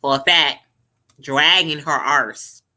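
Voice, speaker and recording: A young woman vlogger giving sassy, profane, animated reaction commentary to camera. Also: low-pitched, takes it slowly.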